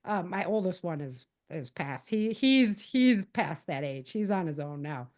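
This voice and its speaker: A woman's voice: fairly low pitch, very animated, measured pace. Middle-aged woman giving emphatic advice. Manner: emphatic, repeats key points, acts out example dialogue. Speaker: podcast guest, author and self-defense advocate. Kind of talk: conversational interview advice.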